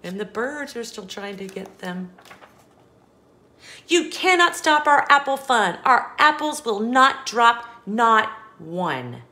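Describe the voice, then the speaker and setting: Animated, high-voiced woman reading a storybook. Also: slow pace. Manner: expressive, exaggerated emphasis with gasps and quieter asides to the child listener. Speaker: children's storybook reader. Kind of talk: animated picture book read-aloud for young children.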